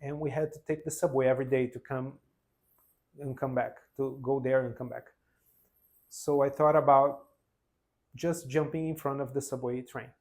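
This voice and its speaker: Brazilian man in his forties, earnest, halting delivery with restarts, unscripted personal testimony. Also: mid-range voice, fairly even intonation, a little mellow. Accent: Brazilian Portuguese. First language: Portuguese. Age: 40s. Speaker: vlogger.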